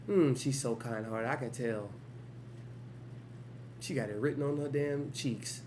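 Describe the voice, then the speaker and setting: A man hosting a reaction channel, talking loosely in slang and breaking into quick hyped outbursts between calmer remarks. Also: medium pitch, noisy background. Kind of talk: casual music video reaction commentary.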